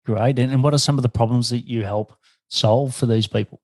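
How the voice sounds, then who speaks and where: A man's voice: medium pitch, steady tone, a little fast, darkish tone, no echo, studio quality. A man hosting a podcast and interviewing in a conversational way.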